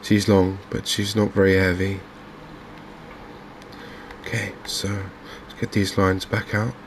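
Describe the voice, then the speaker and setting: A soft-spoken man giving unhurried ASMR commentary on a video game. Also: deep voice, flat delivery, a little hiss or hum. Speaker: gaming video host.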